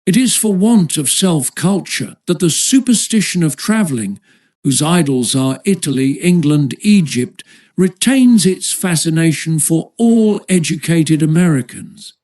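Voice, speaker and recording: A man narrates a classic essay in audiobook style. His voice sits a little higher than average for a man. The delivery is formal and measured, shaped like careful literary reading. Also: lively intonation, darkish tone.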